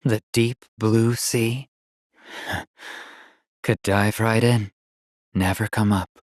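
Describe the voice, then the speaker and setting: A man's voice: lowish voice, steady tone, takes it slowly, no echo, clean studio sound. A man playing a despondent, heartbroken brooder in a video game, speaking in a bleak, melancholy manner. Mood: hopeless gloom. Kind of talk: video game character acting.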